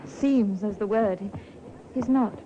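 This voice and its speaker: An actress playing a persuasive, solicitous wife in a 1950s radio drama. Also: female voice, mid-range voice, takes it slowly, warm tone, natural voice. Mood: anxious, coaxing. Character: persuasive, solicitous wife of an ailing older man. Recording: muffled, hissy old radio recording.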